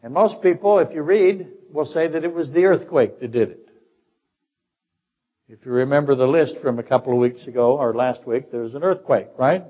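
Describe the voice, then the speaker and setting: Older preacher guy, kinda husky voice, keeps asking the room questions, echoey dull church recording. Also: medium pitch. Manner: teaching through rapid rhetorical questions. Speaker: pastor. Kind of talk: sermon. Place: church sanctuary.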